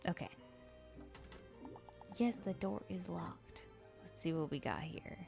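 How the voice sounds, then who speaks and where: A woman streamer with a low, slightly raspy voice, chatting in a dry, monotone, joking way while she plays a game. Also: slow pace, over music. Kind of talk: casual let's-play commentary.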